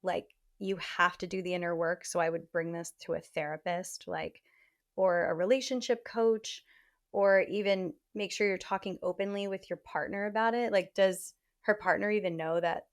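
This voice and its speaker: A woman's voice: fairly low pitch, steady tone, moderate pace. Chatty woman podcast host. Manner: frank, chatty, many "like" fillers. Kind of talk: casual advice chat.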